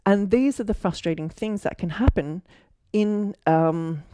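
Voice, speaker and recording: A woman's voice: medium pitch, measured pace, warm tone, slight background noise. An Australian woman in her forties, an architect, telling her story casually with many fillers and self-corrections. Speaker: architect and mentor.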